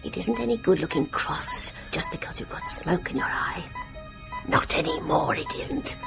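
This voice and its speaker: A woman's voice: on the high side, huge pitch swings, moderate pace, gravelly, over music, heavily acted. An actress playing a widow who coos with condescending, menacing sweetness at her helpless husband in a radio horror drama. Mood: menacing, cooing. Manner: cooing, mock-tender, condescending.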